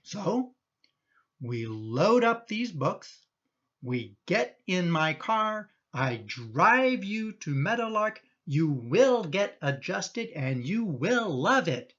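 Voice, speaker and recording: A woman's voice: lowish voice, big pitch swings, slow, dull audio, full character voice. A woman playing an exasperated, protective middle-aged son in a radio play, indignant and emphatic in manner. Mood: indignant, insistent.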